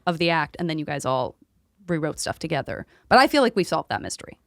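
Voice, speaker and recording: A woman with a slightly low voice chats as a podcast host. She is playful and enthusiastic, and the sound is dry and close with little room to it. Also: sing-song, fast, fairly bright tone, dry recording. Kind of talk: casual podcast chat.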